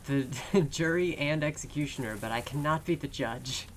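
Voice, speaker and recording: A woman's voice: deep voice, moderate pace, a little hiss or hum, low-quality recording. A woman chatting casually as a friend watches a game being translated, laughing often and making wry comments. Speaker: co-player, friend. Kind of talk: casual chat during a game stream.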